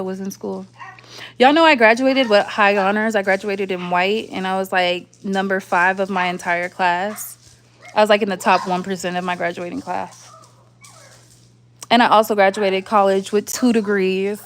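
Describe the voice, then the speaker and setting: A young woman hosts a casual livestream from home. Her voice sits a little low for a woman, and she rambles in slangy, chatty talk. There is faint noise around her and she drifts nearer and farther from the mic. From southwestern United States (Arizona and Las Vegas). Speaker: livestream host.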